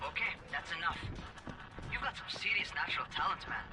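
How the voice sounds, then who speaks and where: An actor voicing a cocky young survivor who coaches in a video game, brash and slangy. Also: male voice, high-pitched, animated, brisk, distant mic, over music, rough recording. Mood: instructing, impressed. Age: young adult. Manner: brash, slangy, barking instructions. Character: cocky young male survivor parkour trainer, teasing. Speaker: parkour trainer character in a video game. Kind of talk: video game dialogue acting.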